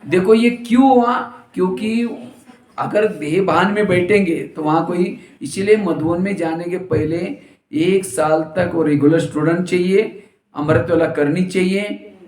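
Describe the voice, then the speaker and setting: A man's voice: high-pitched, a little slow, a little mellow. A man giving a spiritual discourse, telling funny stories and acting out what people said. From India. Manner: storytelling with jokes and acted quotes. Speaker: spiritual teacher. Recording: roomy hall echo.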